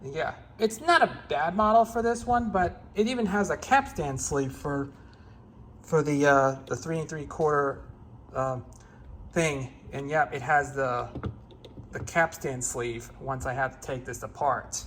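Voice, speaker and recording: A man giving a casual, unscripted hands-on demonstration as a gear hobbyist, in a halting, repetitive, rambling way. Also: on the high side, a bit bright, a little hiss or hum.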